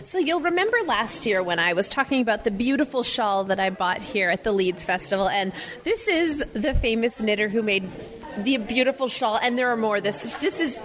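A woman's voice: a little high, animated, normal speed, a bit bright, soft background music. A woman from Canada, hosting casual interviews at an outdoor festival, bubbly and laughing often. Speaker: podcast host interviewing. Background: crowd chatter.

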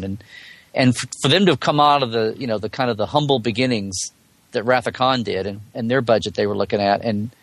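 A man's voice: fairly low pitch, no room sound. A man in a casual fan discussion as a podcast guest, talking in a digressive, colloquial way and often rephrasing mid-thought. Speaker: podcast guest, Star Trek blogger.

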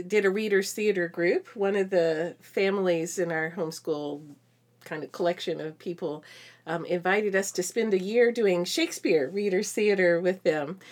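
A middle-aged woman chatting informally and agreeably as co-host of an educational podcast. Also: mid-range voice. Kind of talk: casual educational podcast chat.

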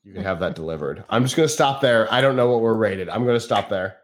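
A man's voice: fairly low pitch, expressive, quick pace. A man co-hosting a podcast in casual chat, wry and emphatic with his opinions. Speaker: podcast co-host.

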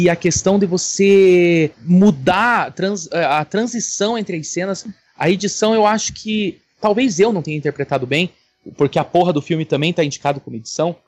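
A man's voice: high-pitched, no echo. A man with a Brazilian accent chatting casually about films on a podcast, blunt and opinionated, swearing freely. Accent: Brazilian Portuguese. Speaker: podcast panelist. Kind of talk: casual film chat.

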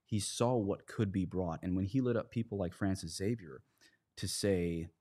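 A man's voice: deep voice, moderate pace, warm tone. A man speaking as a guest in a conversational religious discussion, earnest and explanatory. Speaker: priest, podcast guest.

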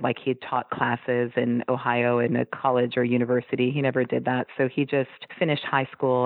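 A man's voice: mid-range voice, no echo. A man being interviewed, fondly telling anecdotes about his late father. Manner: fond, anecdotal storytelling. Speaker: interview guest, child of a wrestler. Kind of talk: reminiscing interview answers. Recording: tinny phone line, dry.

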